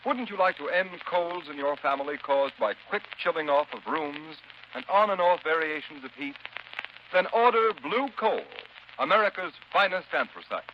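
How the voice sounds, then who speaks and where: A man's voice: on the high side, animated, normal speed. A man announcing in 1930s radio style, with formal, emphatic, declamatory delivery. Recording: noisy muffled 1930s radio recording.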